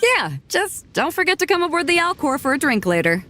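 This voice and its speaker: A woman's voice: sits high, big pitch swings, a little fast, fairly bright tone. A woman voicing a bold, generous female ship captain who talks casually and laughs easily, in a game cutscene.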